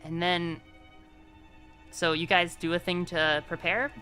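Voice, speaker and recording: A woman with a slightly low, very bright and forceful voice runs a tabletop session. She chats and narrates informally and swears casually, with music faintly behind her. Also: steady tone, slow. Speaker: game master of a tabletop roleplaying game.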